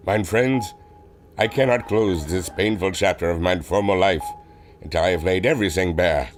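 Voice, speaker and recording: A man's voice: low voice, normal speed, slight background noise, natural voice. A man playing an earnest, remorseful German airship adventurer with a German accent, solemn and melodramatic. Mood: solemn confessing. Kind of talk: old-time radio adventure serial acting.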